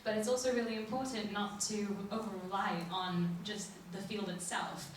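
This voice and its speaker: A woman's voice: mid-range voice, steady tone, moderate pace, recorded from a distance, a little hiss or hum. Articulate woman in an echoey hall. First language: English. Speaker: entrepreneur guest. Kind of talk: live panel discussion. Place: conference room with audience.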